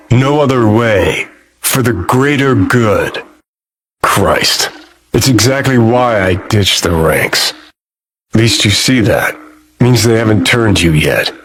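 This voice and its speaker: An actor playing a cynical, mocking middle-aged rebel rocker and ex-soldier in a video game, with a sardonic, sneering delivery. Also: male voice, medium pitch, a bit bright, slightly rough, heavily acted. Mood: disgusted, goading. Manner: sardonic, sneering, swearing, clipped drawled phrases. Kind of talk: video game character dialogue.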